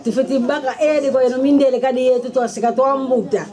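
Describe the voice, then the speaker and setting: A woman's voice: sits high, steady tone, fast talker, crowd noise. A woman from Congo offering a solemn, reverent prayer. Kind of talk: spoken prayer.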